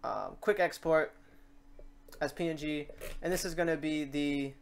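A man with a clear, smooth voice set a little high talks through a software tutorial. He is informal and rambling, thinking out loud as he works at his computer. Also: unhurried. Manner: informal, rambling, thinks aloud while working. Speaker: tutorial host. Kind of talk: casual screen-share software tutorial. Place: indoors at a computer.

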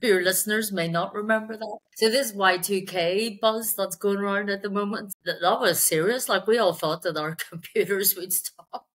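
A woman's voice: medium pitch, normal speed, a bit bright. A middle-aged woman with a Belfast accent telling her career story conversationally in an interview, with wry, self-deprecating asides. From Belfast, Northern Ireland. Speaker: sales executive, interview guest. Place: indoor room on a video call.

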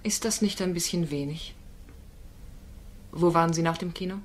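A woman's voice: on the low side, moderate pace, natural voice. A woman playing a firm, probing female defence lawyer in a crime radio play, with insistent, pointed questioning. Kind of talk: German radio drama acting.

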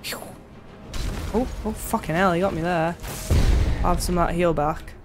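A woman's voice: on the low side, normal speed, over music. A young woman giving casual, exasperated gameplay commentary, swearing and reacting aloud. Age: young adult. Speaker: game streamer. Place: small home room.

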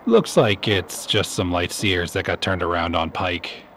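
A man's voice: deep voice, a little slow, a bit bright, slight background noise. A man playing an unfussed security dispatcher in an audio drama, talking casually. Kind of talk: naturalistic audio drama radio chatter.

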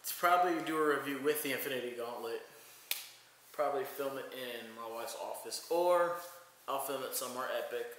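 A man's voice: mid-range voice, fairly quick, a bit bright. A man in his thirties casually vlogging at home, rambling with lots of fillers, filmed on a handheld phone camera. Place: home bedroom and living room, indoors. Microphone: handheld phone camera at arm's length. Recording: very echoey bare room, faint noise.

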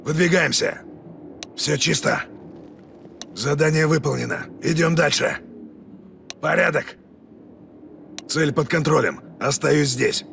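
Gruff male special forces soldier, video game callouts, high-pitched for a man, commanding, clipped urgent phrases, faint background noise. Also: takes it slowly, long pauses, a bit bright, full character voice. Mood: focused. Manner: terse, urgent, barked military callouts.

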